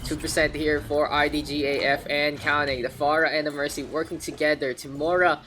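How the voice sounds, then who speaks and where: A man with a Filipino accent casting a live online game match, slightly hoarse, his play-by-play climbing louder when the action heats up. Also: a little high, little pitch movement, a little hiss or hum. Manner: rapid play-by-play, rising louder in action calls. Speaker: esports caster.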